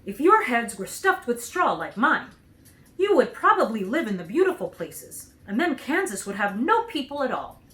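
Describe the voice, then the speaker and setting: Woman playing an earnest, lively storybook scarecrow. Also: a little high, moderate pace. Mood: earnest, puzzled. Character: cheerful, naive straw scarecrow man, earnest storyteller.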